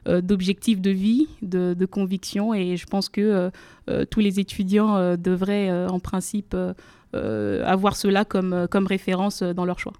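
A woman's voice: medium pitch, moderate pace, warm tone, clear, resonant tone, no room sound. A young woman from the Democratic Republic of the Congo, a law student guest on a radio interview, speaking formally with many hesitations. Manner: hesitant, many fillers, careful formal phrasing. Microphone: studio microphone.

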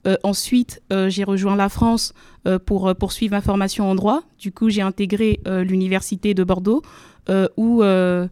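A woman's voice: mid-range voice, little pitch movement, normal speed, warm tone, clean tone, no room sound. A young woman from the Democratic Republic of the Congo, a law student guest on a radio interview, speaking formally with many hesitations. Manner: hesitant, many fillers, careful formal phrasing. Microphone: studio microphone.

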